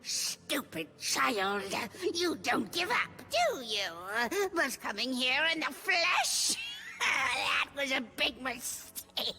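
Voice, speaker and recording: A woman's voice: high-pitched, very animated, slow pace, full character voice. A woman playing a cruel, imperious decaying queen in a video game, theatrical and menacing. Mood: imperious, mocking. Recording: loud music behind the voice.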